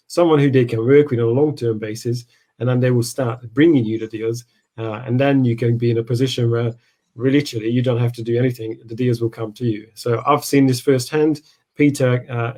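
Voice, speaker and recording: Mid-pitched man, measured and conversational. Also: mellow. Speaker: property investment coach. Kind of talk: conversational live training session.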